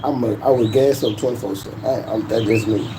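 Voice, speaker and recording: Loud-mouthed man ranting on a noisy livestream. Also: medium pitch, fairly even intonation, quick pace. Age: 40s. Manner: boastful, profane, laughing often. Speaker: YouTube livestream host. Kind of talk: casual livestream rant.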